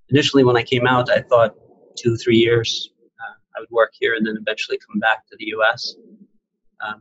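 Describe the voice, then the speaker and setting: A man in his forties talks through his story on a video call, with a husky, slightly breathy voice. He speaks in an even, fairly flat way, rambling easily and filling pauses with "uh". The sound is dull and lo-fi, the way a laptop mic records it. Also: medium pitch, dry recording. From Syria, raised in New York and New Jersey. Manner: relaxed, rambling, many "uh" fillers and restarts. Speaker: guest, real estate investor. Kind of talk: casual interview on a video call. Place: indoor room, on a video call.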